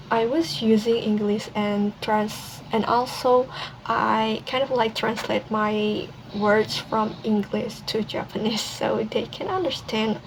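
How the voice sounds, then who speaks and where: A young woman from Indonesia, a university student with an Indonesian accent, presenting casually in a second language with many pauses and restarts. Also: fairly high pitch. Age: young adult. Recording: steady background noise.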